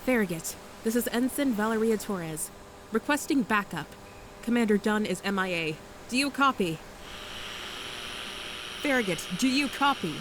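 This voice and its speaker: A woman's voice: medium pitch, animated, moderate pace, long pauses, noisy background, lightly acted. A woman acting a young, dutiful but shaken navy ensign in an audio drama, with clipped military formality. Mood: clipped, urgent. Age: young adult.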